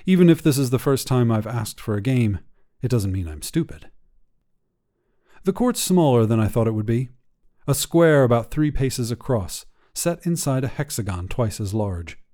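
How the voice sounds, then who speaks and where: A man hosting a fiction podcast and reading a short story in a measured, low-key first-person voice. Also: big pitch swings, dark tone. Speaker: podcast host and narrator. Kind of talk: scripted fiction narration.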